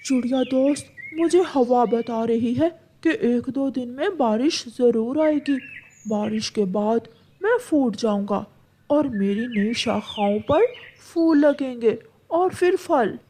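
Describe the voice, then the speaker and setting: A woman voicing a kindly, generous dry tree that talks gently in a cartoon story. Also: fairly high pitch, expressive, slow pace, a little hiss or hum. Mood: kindly, hopeful.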